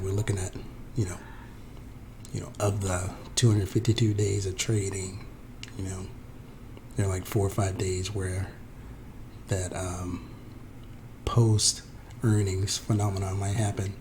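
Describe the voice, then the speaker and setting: Hoarse male tutor, low mellow voice, halting delivery, faint hiss behind. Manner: halting, many fillers and restarts. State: hoarse from a head cold. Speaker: trading tutorial presenter. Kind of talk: unscripted screen-share explanation.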